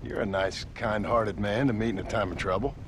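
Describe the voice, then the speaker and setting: An actor playing a laconic frontier gunslinger in a western game, speaking with dry sarcasm. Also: male voice, on the low side, steady tone, fairly quick, a little hiss or hum, close to an everyday voice. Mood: sarcastic.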